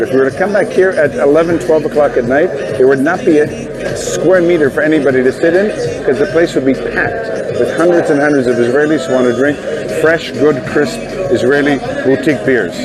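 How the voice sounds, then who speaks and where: A man from Canada giving an enthusiastic on-location tour of a market, in brisk guide patter. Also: on the high side, rough recording. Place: outdoor market street. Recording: loud market crowd around.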